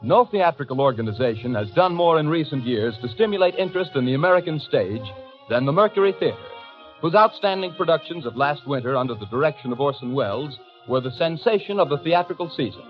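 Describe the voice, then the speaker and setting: A man's voice: a little high, animated, fast, soft background music. A man announcing a 1930s radio program in a formal, declamatory style. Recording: muffled old 1930s radio broadcast.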